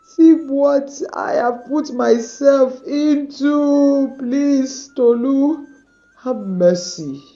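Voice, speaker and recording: A woman's voice: lively intonation, drawn-out words, pauses often, mellow, highs cut off, faint music behind. A woman voicing a remorseful young woman who begs for forgiveness through tears. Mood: pleading. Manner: pleading, high and tearful.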